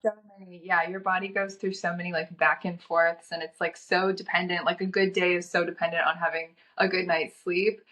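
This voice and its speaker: A young woman from North Carolina, a guest chatting casually, with a slightly scratchy, raspy throat from a cold and a chatty way of talking full of "like". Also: lowish voice, flat delivery, brisk, few pauses, a bit bright. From North Carolina, USA. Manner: chatty, frequent "like" filler. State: getting over a cold. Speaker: fashion model guest. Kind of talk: casual chat.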